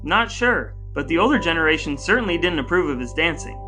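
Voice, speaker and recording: A man's voice: on the high side, very animated, quick pace, music in the background, low-quality recording. An American man co-hosting a podcast, moving between scripted intros and casual chat in a playful, wordy, announcer-like way. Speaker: podcast co-host.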